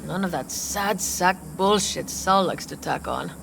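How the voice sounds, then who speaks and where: A sharp-tongued veteran nomad woman in a video game. She speaks slowly and bluntly in a creaky voice. Faint noise hums behind her. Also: lowish voice. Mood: gruff.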